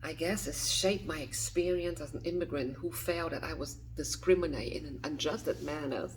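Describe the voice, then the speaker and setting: A woman in her fifties from Vietnam, speaking, answering a list of questions softly and hesitantly, often dropping to a whisper. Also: deep voice, normal speed, a little hiss or hum, poor audio. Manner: soft, hesitant, with frequent restarts and whispered phrases. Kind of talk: reading and answering interview questions.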